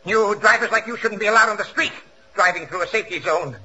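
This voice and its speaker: Irate, shrill motorist. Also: male voice, fast, pushed and bright. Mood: indignant. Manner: indignant, hectoring. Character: irate, scolding male motorist. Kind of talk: 1940s radio comedy acting. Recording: dull 1940 radio broadcast recording.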